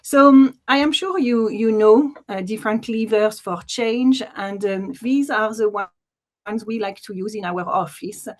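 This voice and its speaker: A woman's voice: a little high, unhurried. A woman from France with a French accent, giving an enthusiastic webinar presentation as a deputy commissioner, with frequent fillers, over a video call.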